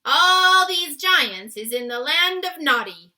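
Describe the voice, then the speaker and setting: Storybook giant, played by a woman: excitable, friendly, very forceful, garbles words in folksy grammar. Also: high-pitched, slow, pitched above the performer's own voice, heavily acted. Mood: eager explaining. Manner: exclaims in mangled, folksy grammar.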